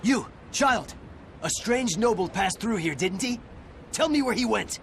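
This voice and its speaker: A harsh hunting captain; gravelly, lively, fairly high. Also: male voice, unhurried, slight background noise, heavily acted. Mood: barking. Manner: barking, commanding. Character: harsh male soldier captain hunting a noble. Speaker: commander of pursuers.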